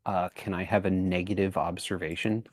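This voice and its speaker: A man's voice: low voice, steady tone, moderate pace, no echo. A man chatting casually as a player in a tabletop roleplaying game, asking questions about his moves.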